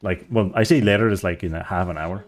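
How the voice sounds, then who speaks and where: A man's voice: low voice, fast. A man streaming a speedrun, chatting casually and rambling as he searches for words. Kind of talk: casual chat while playing.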